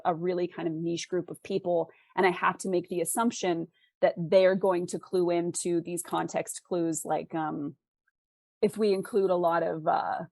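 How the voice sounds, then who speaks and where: A woman with a lowish voice answers interview questions in a conversational, explanatory way. She thinks aloud, posing questions to herself as she goes. The sound is dry, with little room around it. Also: gravelly, darkish tone, no room sound. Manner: thoughtful, explanatory, talks through imagined inner questions. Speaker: interview guest, fiction author.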